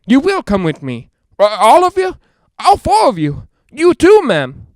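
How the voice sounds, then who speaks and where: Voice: high-pitched, huge pitch swings, unhurried, darkish tone, no room sound, studio quality, heavily acted. Actor doing a scared young radio host guy cracking up in a ghost house, breathless rambling, voice all over the place, old radio play. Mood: unhinged, lonely. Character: frightened, unravelling young male radio broadcaster in a haunted house. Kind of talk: 1940s radio drama acting.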